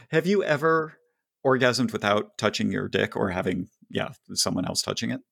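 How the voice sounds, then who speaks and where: A man's voice: lowish voice, moderate pace, vocal fry, fairly bright tone, breathy edge, dry recording. A man co-hosting a podcast, reading listener questions aloud and joking dryly. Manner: dry, wry, reads listener letters aloud. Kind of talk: casual podcast chat.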